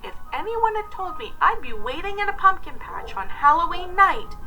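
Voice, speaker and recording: A woman voicing an impatient, dramatic little girl in a storybook read-aloud, exclaiming and complaining with drawn-out wails. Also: very high voice, very animated, sharp tone, faint music behind, voice pushed up, heavily acted, rough recording. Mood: fed up.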